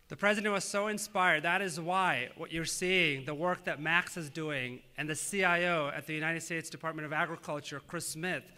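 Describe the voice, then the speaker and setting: A man in his thirties from Tanzania, a native Swahili speaker, giving an earnest, measured public speech with frequent "uh" fillers. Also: on the high side, bright, forceful tone. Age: 30s. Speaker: government official giving a speech.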